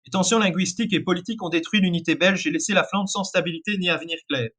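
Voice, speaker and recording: A man's voice: sits high, quick pace, a bit bright. A man reading an alternate-history narration, often stumbling and correcting himself. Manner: reads with frequent restarts and self-corrections.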